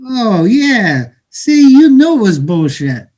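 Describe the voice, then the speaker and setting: A man in a play reading, playing a cynical, bitter, streetwise Brooklyn-born man who talks in halting slang. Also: high-pitched, big pitch swings, darkish tone, full character voice. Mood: scornful, knowing. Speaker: stage actor.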